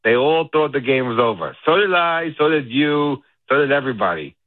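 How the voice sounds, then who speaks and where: A man calling in to a sports radio show, talking in a rambling, deliberate, complaining way. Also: mid-range voice, lively intonation, no echo. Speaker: caller. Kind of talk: call-in sports talk. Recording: phone line.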